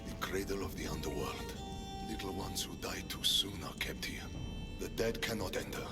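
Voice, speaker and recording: An actor playing a haunted, guilt-ridden Spartan soldier in a video game, speaking in a heavy, halting way. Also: male voice, sits low, vocal fry, loud music behind the voice. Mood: somber. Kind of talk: video game cutscene acting.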